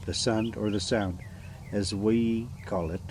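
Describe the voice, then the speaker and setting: Low-voiced man reading slowly over background noise. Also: fairly even intonation, a little mellow. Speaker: storyteller reading aloud. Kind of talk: amateur audiobook reading of a classic adventure novel.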